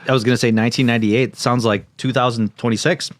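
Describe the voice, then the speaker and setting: A man's voice: mid-range voice, steady tone, fast, dry recording. A man co-hosting a podcast, casually reading a plot recap from notes and joking along. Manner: jokey, prone to laughing. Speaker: podcast co-host.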